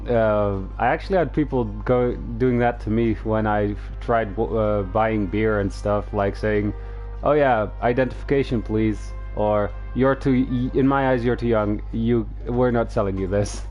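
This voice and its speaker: A man's voice: lowish voice, moderate pace, a little mellow. A man giving casual live commentary as he streams a story-driven video game. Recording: slightly dull, lo-fi microphone sound. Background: faint game music.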